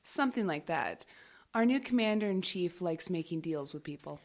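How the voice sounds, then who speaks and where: A cool, gravelly government agent. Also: female voice, fairly low pitch, lively intonation, moderate pace, darkish tone. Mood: wry. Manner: dry, unflappable, teasing. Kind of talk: audio drama acting.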